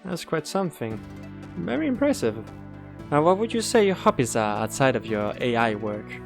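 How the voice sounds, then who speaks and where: Woman playing a lively, low-voiced school interviewer. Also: moderate pace, creaky, soft background music, heavily acted. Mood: kindly, encouraging. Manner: formal but reassuring, expressive. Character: polite, encouraging school admissions interviewer. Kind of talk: audio drama acting.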